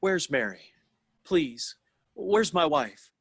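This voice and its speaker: A man's voice: on the high side, big pitch swings, slow pace, a bit bright, husky, no echo, studio quality, exaggerated voice. An actor playing a desperate, agitated family man in a pleading, old-time radio drama style. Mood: distraught, angry.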